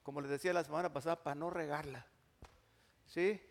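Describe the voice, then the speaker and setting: An older male pastor with a high-pitched voice preaches a sermon, moving from loud, forceful declarations to quiet, slow, drawn-out phrases. His voice rings out with a long echo in a large church hall. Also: steady tone. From Mexico. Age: 60s.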